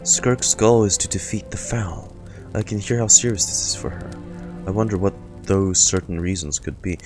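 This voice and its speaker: A man's voice: deep voice, moderate pace. A man streaming a game, reading dialogue aloud and reacting to it as he goes. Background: game music.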